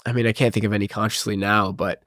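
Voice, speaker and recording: A man's voice: on the low side, level tone, quick pace, fairly bright tone, no room sound, clean studio sound. A man with a stuffy nose, talking earnestly and enthusiastically in a casual spiritual conversation. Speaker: podcast host.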